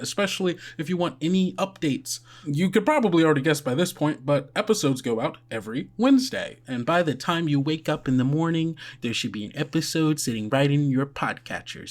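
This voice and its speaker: A man with a mid-pitched voice talks casually as a podcast host and game master. He rambles, corrects himself and throws in joking asides. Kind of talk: casual, chatty podcast housekeeping and game narration.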